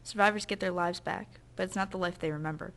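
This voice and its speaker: Female documentary narrator: earnest, measured scripted read, lowish pitch. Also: steady tone, a bit bright.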